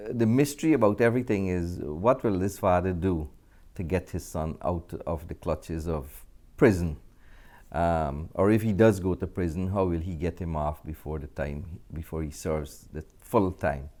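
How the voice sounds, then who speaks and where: A man with a deep voice and a Guyanese accent talks as an interview guest. He is a middle-aged actor and director, answering in a conversational, storytelling way. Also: a little mellow.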